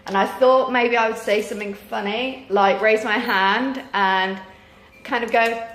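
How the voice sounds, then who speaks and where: A woman's voice: fairly high pitch, steady tone, a little slow, fairly bright tone. A British woman in her thirties chatting casually and informally in a rambling way, tired and losing her voice with a sore throat. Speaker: vlogger, mother. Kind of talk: casual chat to camera. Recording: roomy echo, clear background noise.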